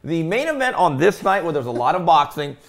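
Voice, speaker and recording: Male sports talk host, slightly high-pitched voice, casual conversational storyteller delivery for a boxing panel. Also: very animated, brisk.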